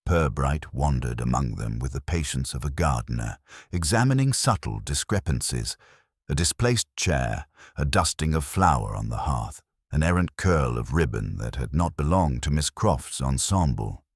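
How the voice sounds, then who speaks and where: A man reading scripted narration of a mystery story in a measured, literary storytelling manner. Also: extremely low pitch, lively intonation, husky, no room sound, studio quality.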